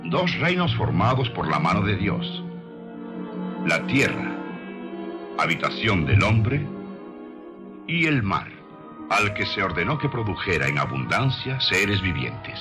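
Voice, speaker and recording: Man, low voice, expressive intonation, solemn scripted documentary narration, measured delivery, music behind.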